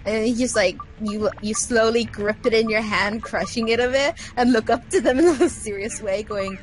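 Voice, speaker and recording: Rambling woman, bit high. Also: normal speed, soft background music, poor audio. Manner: rambling, lots of fillers, giggly storytelling. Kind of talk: casual chat over a game.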